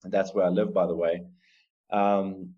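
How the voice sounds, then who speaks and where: Afrikaans-accented guest, 30s, low voice, earnest and rambling, dull video call line. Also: male voice, flat delivery, normal speed. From South Africa. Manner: rambling, earnest, with many fillers and restarts. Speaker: guest artist and coder. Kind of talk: casual interview answers. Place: home room on a video call. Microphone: laptop or webcam mic on video call.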